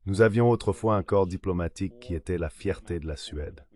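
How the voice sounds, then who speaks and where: A man's synthetic voice reading translated political discussion as a measured voice-over. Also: low voice, darkish tone. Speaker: voice-over translator of interview guests. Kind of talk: dubbed political discussion, measured read delivery.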